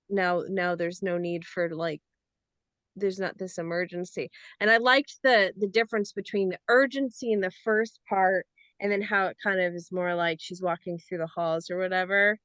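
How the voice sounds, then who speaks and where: A woman's voice: medium pitch, a bit bright, clean tone, dry recording. A woman actor on a reading panel giving her reaction to a script. She rambles, leaning heavily on "like" and "you know". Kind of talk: casual feedback discussion.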